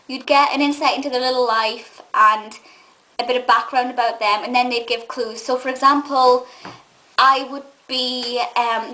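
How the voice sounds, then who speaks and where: A young woman from England chatting casually to camera, enthusiastic and rambling, with a slightly gruff voice. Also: high-pitched, pushed and bright, low-quality recording. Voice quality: says she has a gruff voice. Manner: enthusiastic and nostalgic, rambling with many ums. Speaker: vlogger and film reviewer.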